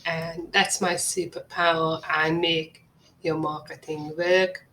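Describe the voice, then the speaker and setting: A woman with a Hungarian accent presents a webinar, taking her time over each phrase. Her voice sits on the lower side, and she sounds earnest and persuasive, like a pitch she has rehearsed. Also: flat delivery, bright, forceful tone, slight background noise. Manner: earnest, measured, salesy. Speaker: marketing agency owner presenting a webinar.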